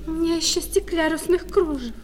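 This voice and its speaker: A woman playing a hesitant, coy young lady customer in a radio play, fussy and halting in her talk. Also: very high voice. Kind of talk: radio play acting of a classic short story. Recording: reverberant hall with crowd murmur.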